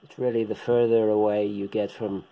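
A man giving a casual, hands-on equipment demonstration as a hobbyist presenter, talking unhurriedly in short, halting bursts. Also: lowish voice, level tone, creaky, warm tone, rough recording. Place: indoors, room with radio gear on a shelf.

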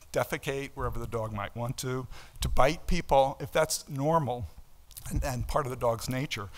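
A man's voice: mid-range voice, a bit bright. An older man, a professor giving a conference lecture in a conversational, explanatory way, emphatic, with rhetorical questions and asides. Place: indoor auditorium stage at a lectern.

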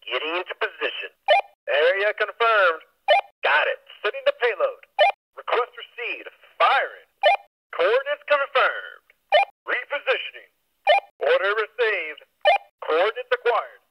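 A man's voice: sits high, big pitch swings, a little slow, pauses often, muffled recording, exaggerated voice. A man voicing a soldier tower in a video game, giving short, clipped military callouts. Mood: curt. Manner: curt, businesslike radio callouts. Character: male soldier tower confirming orders.

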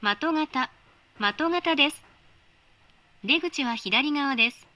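A woman giving polite, formal automated train announcements in a measured voice. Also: sits high, lively intonation, pushed and bright, dry recording.

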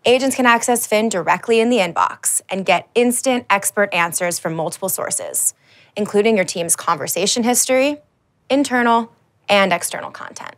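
A woman in her thirties giving a scripted product demo as a marketing presenter, with a polished, upbeat, clearly enunciated delivery. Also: medium pitch. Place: large dark indoor studio stage.

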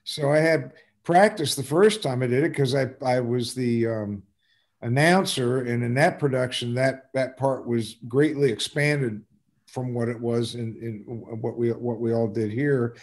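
An older American man chatting casually and self-deprecatingly on a video call, halting and rambling as he goes. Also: mid-range voice, a little mellow. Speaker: community theater actor. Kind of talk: casual chat at an online talkback. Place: indoors at home on a video call. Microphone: video call microphone.

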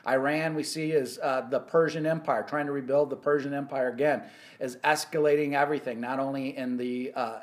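A middle-aged man hosting a weekly Bible prophecy talk, speaking earnestly with frequent halting restarts, recorded indoors on a close phone camera. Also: mid-range voice, steady tone. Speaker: ministry host. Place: indoor office or home room.

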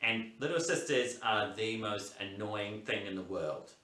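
A man's voice: lowish voice, unhurried, sharp tone, voice pushed down. A young man playing a mischievous, bored big brother in a children's story, exaggerated and conspiratorial. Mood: complaining, confiding. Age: young adult. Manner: exaggerated, conspiratorial, talking to viewers. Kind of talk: playful children's storytelling performance. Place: plain indoor room. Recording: roomy sound, off the mic.

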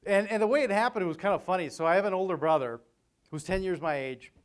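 Male seminar speaker, 40s, clear resonant voice on the higher side, conversational and joking. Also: quick pace. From Vienna, Austria. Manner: conversational, joking, often tags sentences with "right?". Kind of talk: informal conference talk. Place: hotel meeting room, on stage at a lectern. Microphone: lapel mic.

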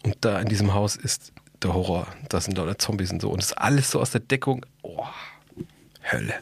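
A middle-aged man co-hosts a podcast in a husky voice, talking in a slangy, enthusiastic way. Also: on the low side, brisk, dry recording. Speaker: podcast co-host. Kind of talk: casual podcast chat.

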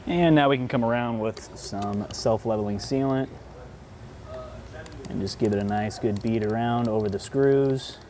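A man's voice: medium pitch, moderate pace, warm tone, a little hiss or hum. A man in his thirties giving a casual how-to demonstration, talking conversationally as he works with his hands on a workshop floor. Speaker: product installation presenter. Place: large indoor workshop floor.

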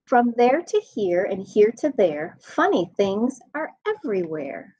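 Woman, 30s, children's storytime reader. Lively swooping intonation, unhurried rhyming delivery, slightly muffled webcam sound. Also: mid-range voice, breathy edge. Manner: expressive, deliberate sing-song rhyme reading. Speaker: school staff nutritional specialist reading a children's storybook. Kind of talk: read-aloud storytime for children. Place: indoor room at home.

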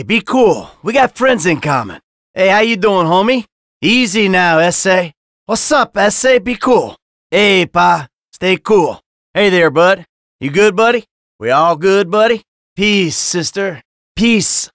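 An actor playing a weary, sardonic, hot-tempered middle-aged American criminal in a video game, grumbling and foul-mouthed. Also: male voice, high-pitched, fairly bright tone, no room sound, studio quality, full character voice. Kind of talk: acted video game voice lines.

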